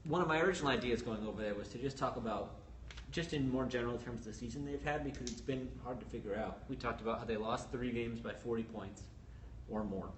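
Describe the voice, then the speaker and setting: A man co-hosting a casual sports podcast, explaining stats and game outlooks in a brisk, analytical way. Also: mid-range voice. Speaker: sports reporter co-host. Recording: roomy echo with faint ambient noise.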